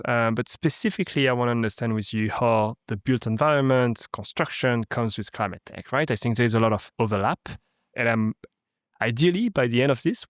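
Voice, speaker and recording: A man of medium pitch hosts an interview podcast. He speaks loosely, dropping in an "uh" and a "right?" now and then. The recording is dry and close. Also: dry recording. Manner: relaxed, with "uh" and "right?". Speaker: podcast host. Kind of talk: interview host.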